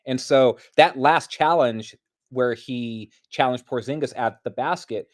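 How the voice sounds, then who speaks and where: A man giving casual basketball analysis and play-by-play, explaining things in a measured, analytical way. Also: medium pitch. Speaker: basketball commentator.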